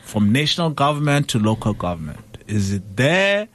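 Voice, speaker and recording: A man's voice: mid-range voice, very animated, normal speed, a little hiss or hum. A man from South Africa hosting a casual radio interview, loose and chatty with plenty of fillers and easy laughter. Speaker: radio show host.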